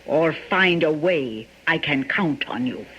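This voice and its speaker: An actress playing a bitter, jealous, hard-drinking wife in a radio mystery, with a dramatic, accusing manner. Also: female voice, sits low, expressive, slow pace, fairly bright tone, highs cut off, slight background noise, heavily acted. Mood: scornful, threatening. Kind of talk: old-time radio mystery acting.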